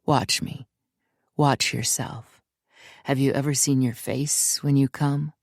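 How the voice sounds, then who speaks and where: Female narrator voicing a commanding man in a storybook reading; low, unhurried, intimate. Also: steady tone, vocal fry, no room sound, clean studio sound, exaggerated voice. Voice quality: low and controlled, slow deliberate delivery. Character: commanding, dominant adult man lover.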